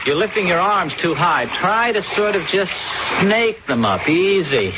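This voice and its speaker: A man's voice: high voice, sing-song, measured pace. An actor playing an easygoing, coaxing man in a radio drama. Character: easygoing, coaxing fiancé giving a swimming lesson. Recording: dull, noisy old radio recording.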